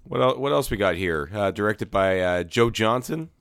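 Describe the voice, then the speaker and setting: A young man hosting a casual movie podcast, a little hoarse, talking with lots of fillers and restarts. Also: on the low side, expressive, a bit bright, no room sound, clean studio sound. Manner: halting, many fillers and restarts. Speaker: podcast host.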